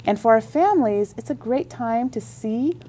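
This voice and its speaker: A woman's voice: a little high, lively intonation, moderate pace, warm tone. A woman on a radio interview, explaining at length with enthusiasm about library services. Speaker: school district library leader.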